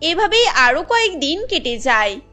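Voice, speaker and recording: Female narrator, cartoon storytelling, high-pitched, bright voice, faint music behind. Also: sing-song, normal speed.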